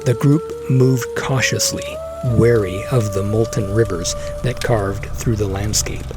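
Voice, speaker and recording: A man's voice: lowish voice, wildly swooping pitch, a little slow. A man reading scripted dramatic fantasy narration. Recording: clear music bed behind.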